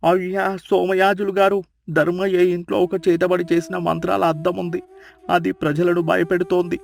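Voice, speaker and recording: A man's voice: sits high, vocal fry. A man playing a vain, scheming village merchant in a storybook tale, speaking in an emphatic, declamatory way. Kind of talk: dramatised storybook dialogue.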